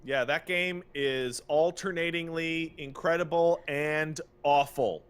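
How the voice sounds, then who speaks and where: A man's voice: a little high, steady tone, slow pace, a bit bright, smooth. A man co-hosting a podcast, talking casually and with opinions about games, stressing his points emphatically. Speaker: podcast co-host.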